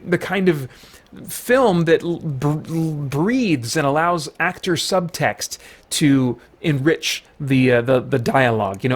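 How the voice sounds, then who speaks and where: A man giving an unscripted review to viewers as the host, conversational and often correcting himself as he goes. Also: on the high side. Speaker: film reviewer, channel host.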